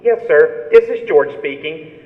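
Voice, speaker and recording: A man plays a coolly sinister accomplice in a radio thriller. His voice is high for a man, formal and matter-of-fact, and comes through a thin telephone sound with echo. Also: lively intonation, a little slow. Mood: cool, businesslike. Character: calm male murder conspirator, coolly giving instructions. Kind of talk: old-time radio thriller acting. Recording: thin phone-like sound, echoey hall reverb.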